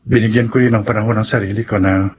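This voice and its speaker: A man's voice: lowish voice, fairly even intonation, fast, lightly acted. A man playing an earnest, emotional young man in a Tagalog radio drama. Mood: apologetic, regretful. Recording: muffled lo-fi with clear noise.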